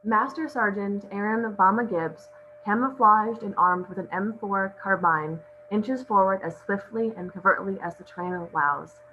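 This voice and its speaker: Casting: female script narrator, mid-pitched, reading stage directions, dull recording with background hum. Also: moderate pace, sharp tone. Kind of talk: scripted narration at a table read. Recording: muffled audio with clear hum.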